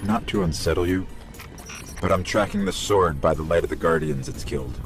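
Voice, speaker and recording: A small robotic companion from a video game, guiding in a very deep voice. The delivery is dry and measured but moves freely up and down, with a gravelly creak. Music plays clearly behind it. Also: male voice, animated. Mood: wary. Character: small robotic companion, male, calm guide and scout. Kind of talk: scripted game voice acting, mission narration.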